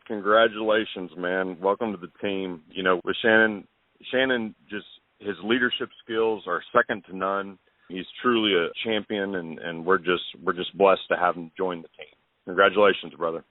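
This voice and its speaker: A man's voice: low voice, normal speed, dry recording. A man giving heartfelt praise and congratulations as a guest on a call. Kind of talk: congratulatory remarks. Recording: dry phone-like call audio.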